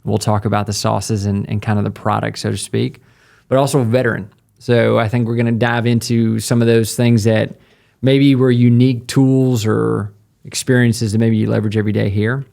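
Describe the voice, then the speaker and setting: A man's voice: fairly low pitch, flat delivery, normal speed, vocal fry, mellow. A man hosting a podcast interview in a laid-back, jokey way. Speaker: podcast host. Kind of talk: casual interview chat.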